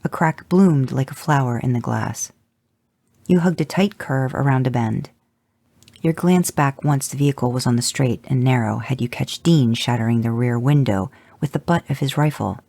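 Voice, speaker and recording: Gravelly, husky storybook narrator. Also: mid-range voice, normal speed, dry recording. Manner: steady second-person storytelling. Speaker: podcast host reading fanfic. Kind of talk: audio fanfic narration.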